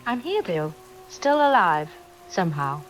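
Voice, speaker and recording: A woman's voice: on the low side, big pitch swings, a little slow, soft background music. An exhausted woman survivor, played by an actress calls in to an emergency radio show. She is alone in an apocalyptic audio drama, and she speaks as a caller on the air. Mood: weary, strained.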